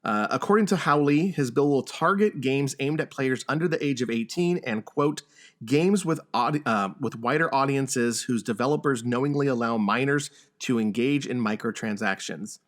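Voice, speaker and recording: A man with a medium-pitched voice hosts a gaming podcast. He moves between relaxed chat and running through headlines in an informal, conversational way. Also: moderate pace. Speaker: podcast host. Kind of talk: casual gaming podcast talk and news rundown.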